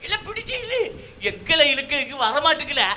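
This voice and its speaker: A man's voice: very high voice, very animated, a little fast, sharp tone, exaggerated voice. A man with a southern Tamil Nadu accent voicing a wailing, grumbling comic rustic clown in a folk shadow puppet show. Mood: whining, exasperated. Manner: exclamatory, repetitive lamenting.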